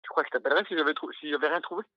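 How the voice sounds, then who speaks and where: A man's voice: a little high, very fast. A young man calling in to a casual chat, answering briefly and a little hesitantly over dull call audio. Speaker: caller seeking a wife.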